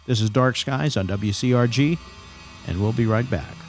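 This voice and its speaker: A man's voice: medium pitch, moderate pace, soft background music, close to an everyday voice. A man playing a composed, probing late-night paranormal radio host in an audio drama. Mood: composed, solemn.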